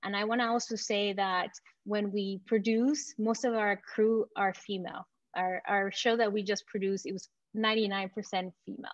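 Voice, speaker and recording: A woman from Venezuela presenting her company in an enthusiastic, confident startup pitch. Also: little pitch movement, normal speed, dry recording, muffled recording. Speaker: company founder pitching to investors.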